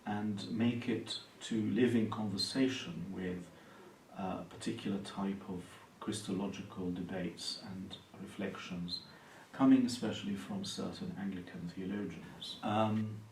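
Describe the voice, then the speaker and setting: A man from Palestine speaks in a low, gravelly voice, measured and thoughtful, often pausing on fillers. He is recorded in an echoey room from some distance, with faint hiss underneath. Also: fairly even intonation, darkish tone. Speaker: university lecturer and Anglican priest. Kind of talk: interview answers.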